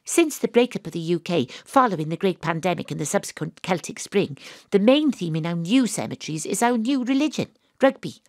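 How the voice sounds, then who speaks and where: Wry theatrical private detective. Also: female voice, fairly low pitch, animated, a little fast, hardly any pauses, a little mellow, dry recording, clean studio sound. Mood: atmospheric, florid. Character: sympathetic, self-mocking female private detective narrator. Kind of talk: first-person audio drama narration and dialogue.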